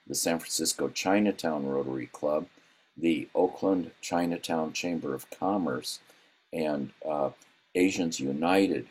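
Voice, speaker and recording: A man, the club president, reading out weekly announcements at a meeting, halting often with ums and uhs. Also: very deep voice, darkish tone, husky.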